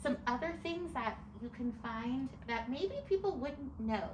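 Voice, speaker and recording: A woman's voice: sits high, animated, a little slow. A middle-aged American woman, an author explaining things step by step in an articulate way as she answers interview questions. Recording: echoey room with clear background noise.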